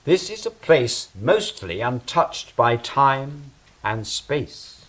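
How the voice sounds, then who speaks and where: Anxious, defensive male angel for stage-style audio drama, acted by a man; slow delivery, very lively intonation. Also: mid-range voice, exaggerated voice, low-quality recording. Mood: uneasy. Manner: fretful, defensive, deliberate.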